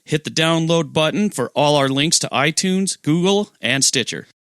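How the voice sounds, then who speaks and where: A man's voice: fairly high pitch, lively intonation, moderate pace, fairly bright tone, no echo, clean studio sound. A man, a podcaster and radio DJ, reading a scripted promotional testimonial.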